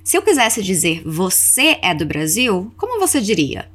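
A Brazilian woman presents a podcast language lesson in an instructive way. Her medium-pitched voice moves up and down in a lively fashion, and she slows down to pronounce example phrases deliberately for the learner to repeat. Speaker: English teacher hosting a podcast lesson. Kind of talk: scripted language lesson with repeat-after-me drills.

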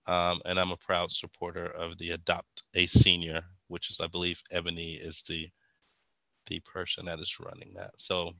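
Deep-voiced young man from Texas. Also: monotone, normal speed, gravelly, sharp tone, no echo, rough recording. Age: young adult. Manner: rambling, frequent ums and restarts. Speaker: guest. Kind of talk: casual interview.

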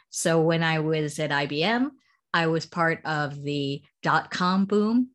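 A middle-aged American woman, a startup founder, answering interview questions thoughtfully, with frequent ums and restarts. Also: on the low side. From United States.

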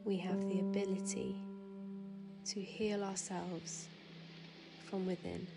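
A woman leads a guided sleep meditation very slowly, leaving long pauses. Her hushed, soothing voice stays level and trails into soft breath at phrase ends. Gentle piano music and ocean waves play clearly behind her. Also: medium pitch, flat delivery. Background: relaxing piano music and ocean waves.

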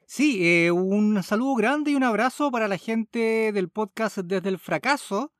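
A man's voice: high voice, a little slow, no room sound, studio quality. A man with a Chilean accent co-hosting a podcast in casual, conversational talk. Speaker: podcast co-host. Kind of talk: casual chat.

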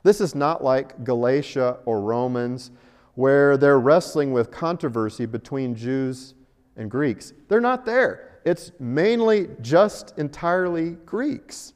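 A man's voice: mid-range voice, slow, a little mellow, clear, resonant tone. A man preaching in a conversational, wryly humorous way, telling stories and posing rhetorical questions in a large hall. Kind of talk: sermon, conversational and storytelling. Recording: echoey hall with long reverb.

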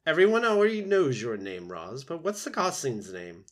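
A man's voice: fairly high pitch, very animated, normal speed, voice pushed up. A man voicing a bossy old female goose in a storybook, squawking, blunt and scolding with heavy emphasis. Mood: blunt, haughty.

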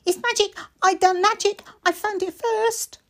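Storybook little boy, played by a woman: high-pitched, excitable, stubborn, childish, exclaiming. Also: measured pace, full character voice. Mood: excited, insistent. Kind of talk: storybook character voice in audiobook reading.

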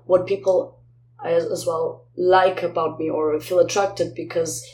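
A woman speaking as a guest singer in a reflective interview conversation, often halting as she searches for words. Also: on the low side, fairly even intonation, darkish tone. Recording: roomy echo, faint background noise.

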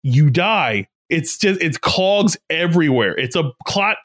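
Podcast co-host, male, high and animated, unhurried, halting explainer. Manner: animated, laughs often, explains points in halting restarts. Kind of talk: casual comedic movie-riffing chat.

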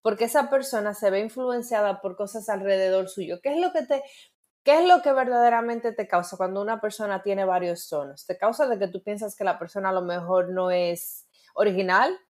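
A woman's voice: medium pitch, fairly quick, darkish tone. A woman with a Dominican Spanish accent, co-hosting a casual podcast and teasing her co-host playfully. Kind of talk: casual chat.

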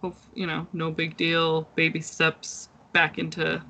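A woman's voice: low-pitched, monotone, a little slow, bright, forceful tone. A woman co-hosting a podcast, casual and supportive, with an easygoing manner. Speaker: podcast co-host. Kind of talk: casual chat.

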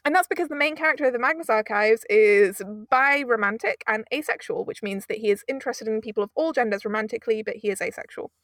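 A woman's voice: on the high side, quick pace, hardly any pauses, a bit bright, dry recording, studio quality. A woman, a guest producer in a conversational interview, making her points emphatically with rhetorical asides. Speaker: audio drama producer, guest.

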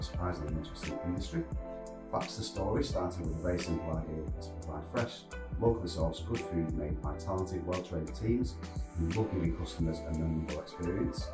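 A man hosting a podcast, reading an upbeat scripted introduction. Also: low-pitched. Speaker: podcast host. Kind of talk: scripted intro then casual interview. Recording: roomy echo with music behind. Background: music.

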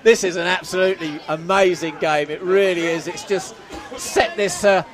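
Excitable high-voiced football commentator. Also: male voice, normal speed, music in the background. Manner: excitable, shouts at goals, self-mocking. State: losing his voice. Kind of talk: live play-by-play commentary. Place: outdoors at a football ground. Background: crowd noise.

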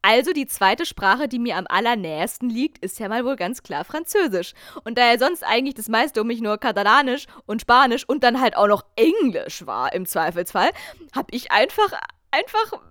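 A young German woman hosting a podcast, telling a travel story in a chatty, animated, self-mocking way. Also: a little high, moderate pace, no echo, studio quality. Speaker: podcast host. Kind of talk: casual storytelling chat.

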